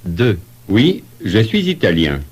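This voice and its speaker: Man as polite traveller, language course dialogue, husky tone, highly varied intonation, measured delivery. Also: huge pitch swings, exaggerated voice. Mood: polite, matter-of-fact. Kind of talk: scripted French lesson dialogue.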